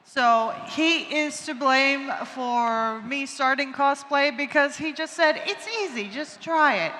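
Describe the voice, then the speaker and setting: A young woman from Croatia speaks unhurriedly, with dry, teasing remarks. Her voice is high and bright. It echoes through a large hall over a faint background hiss. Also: takes it slowly. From Croatia, Split. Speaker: convention panelist. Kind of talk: casual convention talk. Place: convention lecture hall. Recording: reverberant hall with faint noise.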